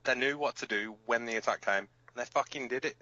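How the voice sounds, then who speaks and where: A man's voice: mid-range voice, fast talker, sharp tone, muffled recording, natural voice. A man playing a hot-headed, protective resistance fighter who hosts a rebel radio broadcast in an audio drama, blunt, emphatic and given to swearing. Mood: indignant, heated.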